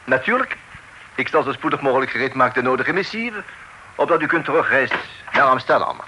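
A man's voice: medium pitch, expressive, a little fast, close to an everyday voice. An actor playing a vain, officious lawman with pompous, mannered speech and French flourishes in a radio drama. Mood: officious, flustered. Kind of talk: Dutch radio drama acting. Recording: slightly noisy old radio recording.

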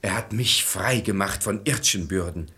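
An actor playing a gullible, pious, middle-aged master of the house in a German verse radio drama, theatrical and emphatic. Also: male voice, low-pitched, moderate pace, vocal fry, bright, forceful tone, heavily acted. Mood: rapt devotion.

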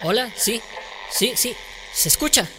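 An actress playing an upbeat community radio presenter, welcoming and announcing in a radio drama. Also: female voice, on the high side, lively intonation, unhurried, poor audio. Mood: festive welcoming. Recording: music behind the voice.

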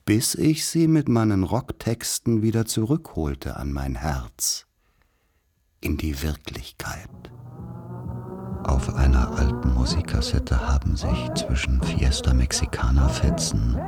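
Radio drama narrator: wry man recalling boyhood, very deep, unhurried, measured and solemn. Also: animated, over music, full character voice. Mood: reflective, incantatory. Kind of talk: literary radio play narration.

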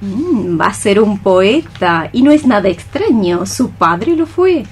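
A woman's voice: mid-range voice, very animated, slow, darkish tone, slightly rough, heavily acted. A woman playing a melancholy, dreamy cockroach mystic in radio theatre, sighing her lines as solemn lyrical verse. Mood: wistful.

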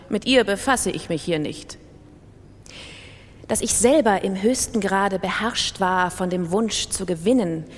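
A woman reading as a compulsive gambler in a literary radio drama, analytical, scornful and self-justifying, with deliberate, emphatic phrasing. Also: mid-range voice, close to an everyday voice. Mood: defiant, reasoning. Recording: long hall reverb.

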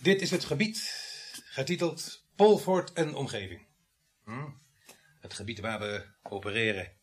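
A sardonic village police sergeant in a radio drama. His middle-aged voice is husky and of ordinary pitch. He grumbles gruffly and adds dry, sarcastic asides. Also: measured pace. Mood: dry, instructive.

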